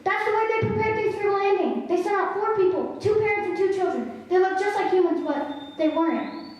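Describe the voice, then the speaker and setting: A woman's voice: very high voice, brisk, a little mellow, muffled recording. A young woman playing an earnest, frightened boy in a stage radio play. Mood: urgent, fearful. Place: school stage performance. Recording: very echoey hall, faint noise.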